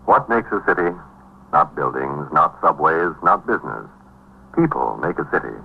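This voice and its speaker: An actor playing a grave, brooding crime drama narrator with solemn, measured, portentous 1950s radio delivery. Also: male voice, rumbling low, very animated, gravelly, husky, full character voice. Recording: dull 1950s radio recording.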